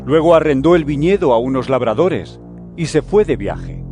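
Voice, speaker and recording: Casting: male actor for firm holy teacher, higher-voiced and lively in inflection, solemn declaiming, dramatized Bible audio. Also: moderate pace, close to an everyday voice. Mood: solemn storytelling. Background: music.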